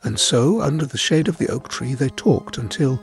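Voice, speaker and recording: A man's voice: mid-range voice, animated, moderate pace. A man telling a scripted folk tale as a storyteller. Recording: music bed behind the voice. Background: music.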